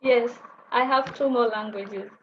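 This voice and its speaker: Young Kenyan woman presenting food over a muffled video call, higher voice, unhurried and full of fillers. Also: fairly even intonation. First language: Somali. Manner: unhurried, explaining with many fillers. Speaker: guest language teacher. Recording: muffled video call audio with light noise.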